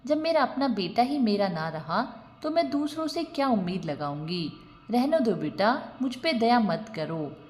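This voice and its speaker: A woman's voice: on the high side, sing-song, a little slow, a little mellow. A woman voicing a resigned, devout, abandoned old mother in a storybook tale, plaintive and wary. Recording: long reverb on the voice.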